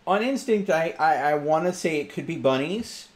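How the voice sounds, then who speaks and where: A man's voice: fairly high pitch, lively intonation, normal speed. A man talking casually as a player in a tabletop roleplaying game. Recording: clearly noisy background.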